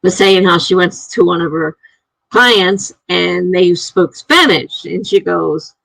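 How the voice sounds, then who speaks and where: A gravelly, slightly husky woman chats slowly through a live stream and reads out her viewers' comments. She laughs often between comments. Her voice sits dry and close to the mic. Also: on the low side, a bit bright, no room sound, rough recording.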